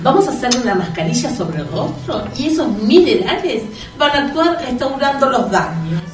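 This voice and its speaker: An actress playing a confident beauty therapist, explaining treatments and teasing in a comedy sketch. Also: female voice, a little high, very animated, moderate pace, roomy sound, far from the mic, faint music behind. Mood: explanatory.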